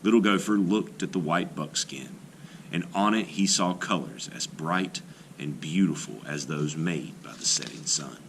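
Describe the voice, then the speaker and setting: Deep, slow male storyteller. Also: heavy background noise. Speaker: art teacher reading aloud. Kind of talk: storybook read-aloud narration.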